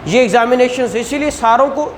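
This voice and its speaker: Classroom law teacher with Pakistani Punjabi accent, informal and explanatory, mid-pitched, recorded with slight room noise. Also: fairly quick. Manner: conversational, explanatory, repeated check-ins and rhetorical questions. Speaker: law lecturer. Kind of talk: informal classroom lecture with questions to students.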